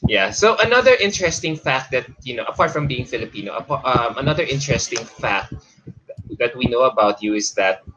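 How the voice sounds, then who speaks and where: A man hosting a live-streamed interview, hesitant and restarting often, quick to laugh. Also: medium pitch, animated, pushed and bright, highs cut off, slight background noise. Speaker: show host.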